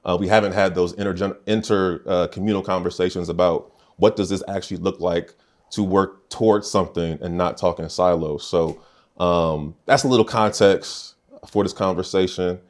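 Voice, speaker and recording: Deep-voiced male podcast host. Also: moderate pace. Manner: relaxed, conversational, uses slang. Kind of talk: casual podcast conversation.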